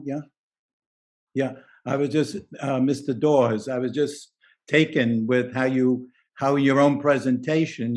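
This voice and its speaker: Man, participant in an online discussion, eager, rambling, mid-pitched voice. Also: a little mellow. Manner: enthusiastic, rambling, many restarts. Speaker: symposium participant. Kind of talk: spoken comment in online discussion. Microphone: video call.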